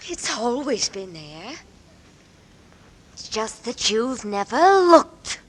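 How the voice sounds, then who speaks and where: A woman's voice: on the high side, very animated, takes it slowly, heavily acted. A woman playing a cool, guarded wife with hidden scorn in a 1940s radio drama, genteel and controlled. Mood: cold. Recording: vintage 1940s radio recording.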